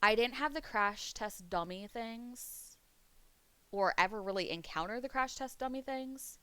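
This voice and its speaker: Chatty woman streamer, voice going hoarse. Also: on the high side, unhurried, sharp tone, dry recording. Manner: rambling, laughs often, reads chat aloud. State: losing her voice. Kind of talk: casual live-stream chat. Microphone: desk mic on a webcam stream.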